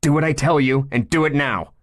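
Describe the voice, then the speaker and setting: A man voicing a steady male police chief who gives firm, level orders. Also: mid-range voice, brisk, pitched above the performer's own voice, natural voice. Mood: commanding.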